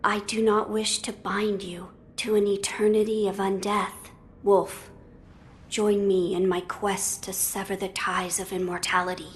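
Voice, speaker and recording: A woman voicing a young noble boy lord in a video game, formal, measured and earnest. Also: mid-range voice, level tone, a little hiss or hum, lightly acted. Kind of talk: video game cutscene acting.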